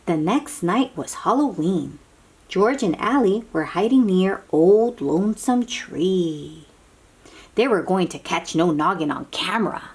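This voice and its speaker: A woman's voice: fairly low pitch, husky. A woman reading a picture book aloud to young children, dramatically, with asides and questions to the listeners. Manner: expressive, drawn-out, dramatic delivery with hushed moments.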